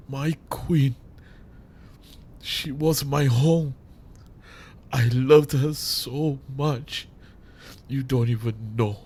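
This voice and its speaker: A man playing a grieving, vengeful villain in an audio drama, with menacing, deliberate delivery and theatrical pauses. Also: mid-range voice, darkish tone, slightly rough. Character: grieving, vengeful male villain hijacking a radio broadcast. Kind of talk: dramatic audio roleplay monologue.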